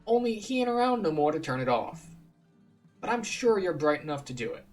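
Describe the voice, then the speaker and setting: Man voicing a folksy villager, pitched up. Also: fairly quick, faint music behind. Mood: folksy, urging. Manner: folksy, colloquial, animated speech. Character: friendly rustic village man, uneducated quest giver.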